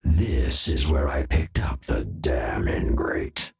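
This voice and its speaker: An actor playing a bitter, menacing masked mercenary in a video game, with a rasping, sardonic growl. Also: male voice, a bass voice, a little mellow, exaggerated voice. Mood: scornful. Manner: slow, sardonic, menacing delivery. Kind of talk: video game character lines.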